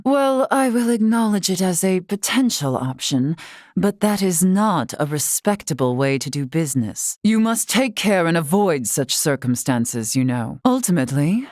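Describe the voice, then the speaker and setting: A woman voicing a composed, scholarly otherworldly woman in a video game, with formal, measured and elaborate diction. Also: lowish voice, darkish tone, no room sound, studio quality, natural voice. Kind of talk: scripted video game character acting.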